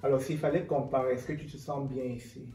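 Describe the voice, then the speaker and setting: A woman with a dark, mellow voice hosts a casual interview. Her phrasing is hesitant, broken by pauses. The sound is distant and echoey, with light music underneath. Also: a bass voice, steady tone. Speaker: interviewer, host.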